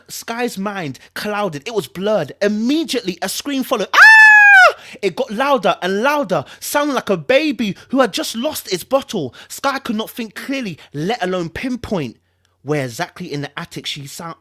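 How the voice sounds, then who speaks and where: A woman's voice: medium pitch, lively intonation, moderate pace. A woman reading a story aloud in a theatrical, suspenseful and informal storytelling style. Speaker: host reading a novel aloud to followers. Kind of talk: dramatic storytelling read-aloud with chatty asides and vocal sound effects.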